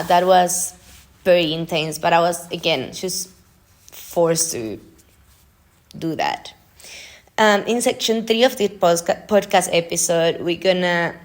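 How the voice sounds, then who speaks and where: A young woman whose first language is Spanish hosts a solo podcast. Her voice sits a little low for a woman. She talks in a chatty, informal way, partly following notes and partly speaking off the cuff. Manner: chatty, informal, frequent use of "like". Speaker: podcast host.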